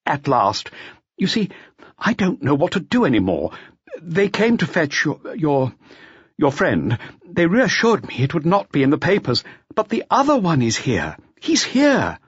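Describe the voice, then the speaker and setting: A man's voice: on the high side, huge pitch swings, measured pace, slightly rough, no echo, lo-fi sound, pitched above the performer's own voice, exaggerated voice. A man voicing an anxious, deferential hotel manager in an audiobook, hesitant and fussy, stammering as he pleads. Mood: panicky. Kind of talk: audiobook character voice.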